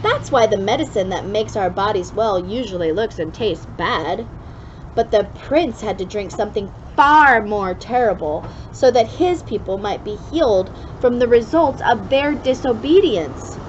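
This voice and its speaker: A woman reads the part of a kindly old grandfather in a children's storybook, earnest and instructive with his granddaughter. The sound is slightly dull, with clear noise in the background. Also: medium pitch, lively intonation, measured pace, natural voice. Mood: gentle, earnest.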